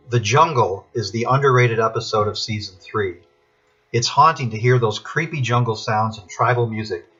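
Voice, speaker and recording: A man giving prepared spoken commentary as a podcast contributor, in measured, formal phrasing. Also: medium pitch, fairly bright tone, husky. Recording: dull lo-fi recording.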